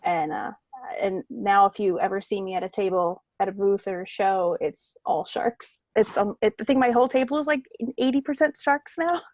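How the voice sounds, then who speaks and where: A woman's voice: mid-range voice, moderate pace, a little mellow, no echo. A woman guest on a podcast, an artist, chatting informally and with some self-deprecation about herself. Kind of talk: casual interview chat.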